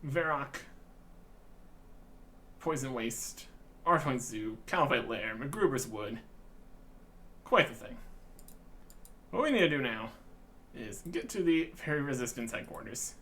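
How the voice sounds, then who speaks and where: Male let's player, mid-pitched, understated and rambling, talking casually through a game. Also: animated, a little slow, long pauses, bright, forceful tone, a little hiss or hum. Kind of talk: casual gameplay commentary.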